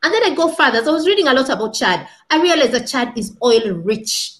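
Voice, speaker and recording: A woman's voice: high voice, big pitch swings, a little fast, fairly bright tone. A woman in her thirties giving impassioned commentary as a panel guest on a video call, asking rhetorical questions and repeating points for stress. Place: small room indoors. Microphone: laptop webcam video call.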